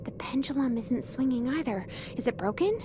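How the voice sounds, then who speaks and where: A woman's voice: high voice, lively intonation, normal speed, dark tone, a little hiss or hum. A woman playing an earnest, naive, encouraging female student in a visual novel, with a hesitant, guileless way of talking. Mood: concerned. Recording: clear music behind the voice.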